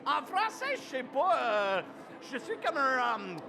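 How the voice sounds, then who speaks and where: A man's voice: extremely high pitch, very animated, slow pace, a crowd in the background, noisy background. A man whose first language is English, speaking French as a comedian guest. He talks in a boisterous, hyped-up way, drops English phrases into his French and lets out whoops. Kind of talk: hyped-up festival interview.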